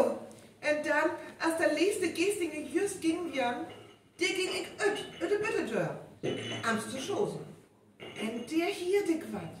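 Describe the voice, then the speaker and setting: A woman acting a terrified, excitable eyewitness in a live North Frisian radio play, theatrical and halting with stammers. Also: extremely high pitch, full character voice. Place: live performance in a hall. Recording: echoey hall, distant, some noise.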